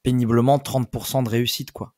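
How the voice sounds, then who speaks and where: A man hosting a casual podcast chat, asking long, rambling questions in a relaxed way. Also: mid-range voice, a little fast, dry recording, clean studio sound. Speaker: podcast host.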